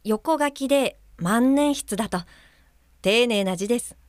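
A woman playing a soft-spoken guide helper. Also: fairly high pitch, unhurried, no echo, clean studio sound, natural voice. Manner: soft-spoken, reads aloud carefully. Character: gentle woman guide for the blind. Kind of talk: radio drama acting.

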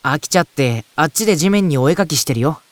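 A woman playing a gentle, easygoing boy in an audio drama, with a casual, friendly, boyish way of talking. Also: low voice, expressive, quick pace, soft background music. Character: gentle, easygoing boy caught in spirit world. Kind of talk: audio drama acting with first-person narration.

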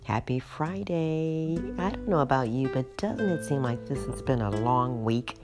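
A middle-aged woman hosting a podcast, hoarse tonight, chatting in an unhurried way with frequent ums. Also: extremely low pitch, expressive. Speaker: podcast host. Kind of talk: casual solo podcast talk. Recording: music playing underneath.

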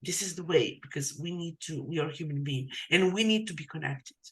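A woman whose first language is not English, hosting a podcast interview in a halting way, often restarting her sentences. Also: low voice. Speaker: podcast host. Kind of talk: conversational interview.

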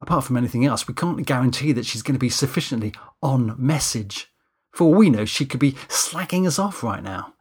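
A man's voice: medium pitch, expressive, a little fast, slightly rough. A man voicing a flustered, anxious man running a film shoot in an audiobook, hesitant, stammering and defensive. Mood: anxious, spiralling.

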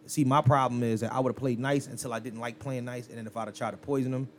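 A man's voice: mid-range voice, fast. A man in his thirties co-hosting a podcast, chatting casually and profanely, laughing and raising his voice when surprised. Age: 30s. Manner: animated, loud, swears freely, laughs often. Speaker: podcast co-host.

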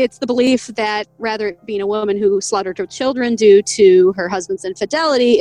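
A woman's voice: medium pitch, a little mellow, no echo, rough recording. A woman co-hosting a podcast, reading from an article in a casual, chatty way, laughing often and stumbling over names. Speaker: podcast co-host.

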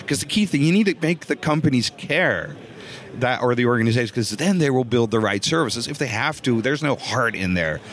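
A man from the Netherlands with a Dutch accent, a guest on a podcast interview, talking earnestly with many fillers and restarts. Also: medium pitch. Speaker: guest, voice technology consultant.

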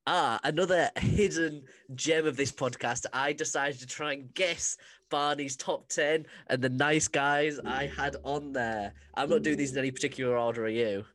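Male podcast co-host, mid-pitch, teasing, chatty, rambling asides. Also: steady tone, a bit bright. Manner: joking, quick to tease, rambling asides. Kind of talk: casual podcast chat.

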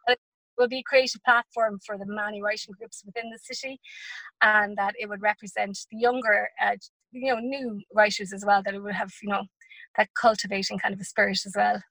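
A woman's voice: medium pitch, flat delivery, brisk, fairly bright tone, no room sound, poor audio. A young Irish woman, a poet and teacher, chatting thoughtfully as a podcast guest, with many fillers and restarts. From Ireland. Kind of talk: casual interview chat.

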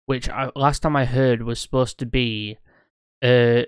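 Smooth-voiced man rambling eagerly. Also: mid-range voice, fairly even intonation, a little slow, dry recording, studio quality. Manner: eager, rambling, corrects himself mid-sentence. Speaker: podcast co-host. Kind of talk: casual podcast chat.

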